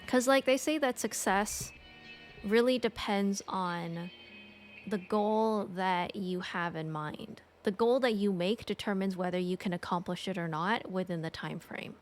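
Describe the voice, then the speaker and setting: A woman's voice: medium pitch, measured pace, soft background music. A woman from the United States chatting casually on a stream, rambling with many fillers and restarts. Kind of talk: casual chat.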